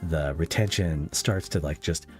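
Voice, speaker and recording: A man's voice: extremely low pitch, measured pace, faint music behind. A man hosting a podcast, chatting casually with a guest as a fellow video creator.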